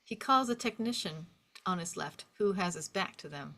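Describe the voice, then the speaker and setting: Bright-voiced woman reading stage directions. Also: fairly low pitch, expressive, moderate pace. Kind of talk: scripted narration at a table read. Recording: faint background noise and clicks.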